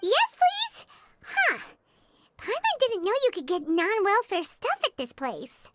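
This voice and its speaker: A woman's voice: huge pitch swings, slow pace, a bit bright, no room sound, exaggerated voice. A woman voicing a tiny, curious, blunt childlike fairy companion in a video game, with music behind her. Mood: eager. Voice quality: squeaky, childlike. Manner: refers to self in third person.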